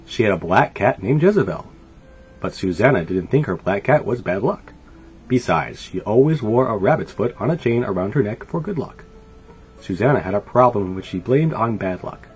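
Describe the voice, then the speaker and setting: A man reading a children's story aloud in an animated, expressive way. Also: fairly low pitch. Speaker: storybook reader. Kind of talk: scripted children's story narration. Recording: dull lo-fi sound. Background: light music.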